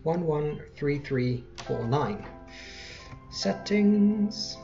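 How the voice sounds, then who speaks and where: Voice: mid-range voice, lively intonation, drawn-out words, vocal fry, dark tone. Casting: gameplay host, casual and rambling, self-correcting, lo-fi dull mic with game music underneath. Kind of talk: casual let's play commentary.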